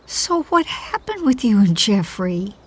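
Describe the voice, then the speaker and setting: A woman's voice: medium pitch, very animated, unhurried, husky. A woman playing a sympathetic, supportive friend in an audio comedy drama, earnest and consoling.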